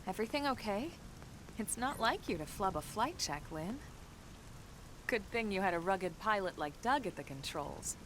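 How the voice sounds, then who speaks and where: Actress as a composed woman squad leader, mid-pitched. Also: a little hiss or hum, natural voice, low-quality recording. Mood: calm, reassuring. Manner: calm, measured, matter-of-fact. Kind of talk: video game cutscene acting. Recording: loud music under the dialogue.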